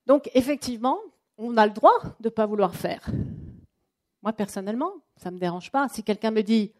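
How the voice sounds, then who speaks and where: A woman presenting a live coaching workshop to a room of people, talking in a chatty, lively way and acting out what clients say. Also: a little high, moderate pace. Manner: lively, chatty, acts out quotes. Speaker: trainer and coach. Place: training room with an audience.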